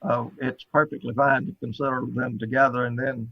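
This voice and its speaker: A man's voice: mid-range voice, little pitch movement, brisk, dry recording. A man addressing a board politely and formally as a representative, speaking over a remote call. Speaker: applicant's representative. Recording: dull remote call audio.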